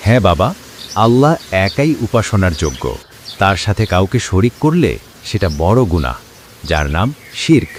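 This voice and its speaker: A man playing a kindly village imam in a cartoon, teaching children in a gentle, encouraging, didactic way over noisy ambience. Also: on the low side, big pitch swings, moderate pace. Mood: earnest, instructive. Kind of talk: scripted cartoon dialogue and Quran recitation.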